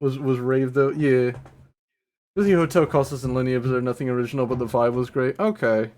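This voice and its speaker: A man's voice: medium pitch, fairly even intonation, brisk, darkish tone. A man hosting a live stream, chatting casually with frequent restarts and offhand swearing. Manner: stammering restarts, laid-back, swears casually. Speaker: streamer host.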